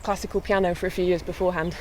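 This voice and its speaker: A young woman, a harpist, chatting in a relaxed way with light laughs while out walking on a hillside. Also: lowish voice, very fast, rough recording. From born in England, raised in mid Wales. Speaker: harpist and guest. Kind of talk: casual walking interview. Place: outdoors on a hillside walk. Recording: faint outdoor noise.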